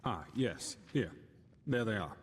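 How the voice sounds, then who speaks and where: A thoughtful scholar speaks in a low male voice with earnest self-doubt. His delivery is reflective and articulate, like a fantasy game companion. The voice rings in a long hall reverb. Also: lively intonation, unhurried, breathy edge. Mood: earnest, pensive. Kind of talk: video game character dialogue.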